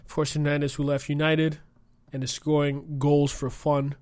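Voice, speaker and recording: A young man hosting a casual solo podcast, his voice croaky from a cold, chatty and rambling with many fillers. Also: on the high side, little pitch movement, moderate pace, a little mellow, dry recording. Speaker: podcast host and streamer.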